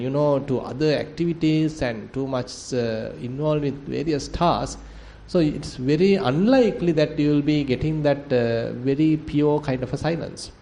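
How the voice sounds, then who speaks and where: A man with a Sri Lankan accent, a monk teaching in a gentle, hesitant way with soft laughs. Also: fairly high pitch. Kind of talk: dharma discussion. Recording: light background noise.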